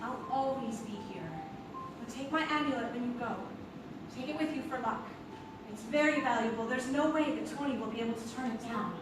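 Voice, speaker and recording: Woman as a high-voiced, devoted young sweetheart. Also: a little fast, natural voice. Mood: tender. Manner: earnest, French-sprinkled speech. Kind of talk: live stage radio-play acting. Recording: echoey theater, distant mic, noisy.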